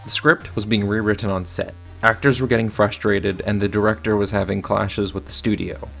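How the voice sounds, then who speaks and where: A man's voice: lowish voice, steady tone, a little fast, gravelly, a bit bright, faint music behind. A man narrating a scripted but conversational film review in an opinionated, matter-of-fact manner. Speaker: video essayist and film reviewer.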